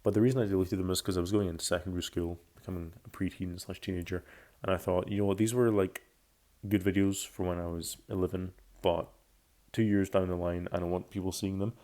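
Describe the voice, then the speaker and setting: A man's voice: sits low, fairly even intonation, fast, mellow. A man in his early twenties giving casual commentary about himself, rambling and often restating or correcting his phrases. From Belgium, Scotland and France. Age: early 20s. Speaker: YouTuber.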